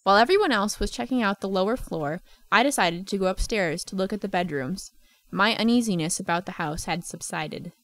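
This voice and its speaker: Woman acting a reflective narrator, medium pitch. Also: lively intonation, fairly quick, fairly bright tone, no echo, lightly acted. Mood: descriptive. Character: reflective grown woman recalling haunted childhood. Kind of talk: scripted radio play narration.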